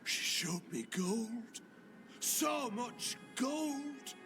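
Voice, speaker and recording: An actor playing a craven, desperate village mayor in a video game cutscene, pleading and making faltering excuses. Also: male voice, very high voice, animated, drawn-out words, music in the background, full character voice. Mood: guilty pleading. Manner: pleading, faltering, self-justifying.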